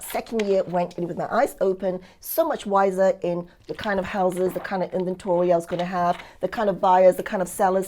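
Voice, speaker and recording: This is a woman from Singapore who later lived in Australia, with a slightly low voice. She talks like a coach sharing her own success in an interview. Her delivery is upbeat and emphatic, and she often punctuates points with quick rhetorical questions. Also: a little mellow. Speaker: real estate affiliate and coach giving a testimonial. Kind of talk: conversational testimonial interview.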